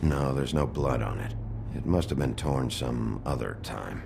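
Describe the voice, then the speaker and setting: An actor playing a gruff, weary male detective with a gravelly voice and a terse manner in a video game. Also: rumbling low, lively intonation, unhurried, background noise, exaggerated voice. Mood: brooding, analytical. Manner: terse, hard-boiled. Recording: loud music bed.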